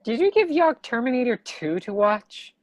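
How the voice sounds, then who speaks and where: An actress playing a dry, incredulous friend in a comedy table read. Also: female voice, fairly high pitch, very animated, moderate pace, a little mellow.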